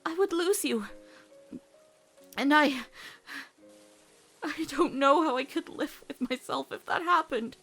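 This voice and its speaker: Woman acting as a devoted teenage cartoon girl, fairly high voice, earnest, pleading, faltering, faint music behind. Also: slow, pauses often, fairly bright tone, exaggerated voice. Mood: breaking down. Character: devoted, earnest teenage girl, fearful of losing loved ones. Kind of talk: emotional cartoon comic dub.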